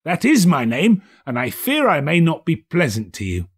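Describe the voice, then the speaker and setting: A man's voice: fairly high pitch, wildly swooping pitch, slightly rough, voice pushed up, heavily acted. A man voicing an elderly reformed miser for an audiobook, giddy and gushing with delight, drawing words out in exclamations. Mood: effusive, grateful. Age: older. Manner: exclamatory, drawn-out, gushing delivery. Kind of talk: dramatised audiobook character voice.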